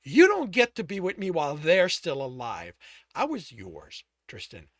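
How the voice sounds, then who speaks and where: A man voicing a young man who barks harsh, forceful demands at someone he loves. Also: a little high, big pitch swings, unhurried, no echo, clean studio sound, full character voice. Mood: harsh, commanding. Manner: loud, clipped, forceful delivery. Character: young man, desperate and furiously commanding.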